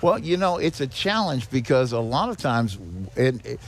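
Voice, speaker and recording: A man's voice: mid-range voice, sing-song, moderate pace. A man talking conversationally as a podcast guest, explaining things and often repeating or restarting his words. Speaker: guest, director of a seniors' charity.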